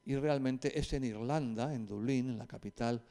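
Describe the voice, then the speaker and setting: A man with an accent from Spain, lecturing informally to a group in a didactic, conversational way with hesitant pauses and asides. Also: mid-range voice, mellow.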